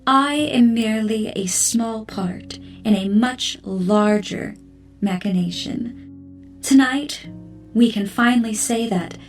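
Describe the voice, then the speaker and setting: A poised doctor making a formal speech in an audio drama. Her voice is fairly high and slow, deliberate and gracious, a little away from the mic. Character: poised, gracious female doctor addressing a gala. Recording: slightly distant mic, faint music.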